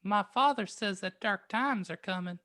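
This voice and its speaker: A woman's voice: mid-range voice, moderate pace, a bit bright, close to an everyday voice. A woman reading an earnest, worried schoolgirl. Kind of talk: amateur fan fiction reading.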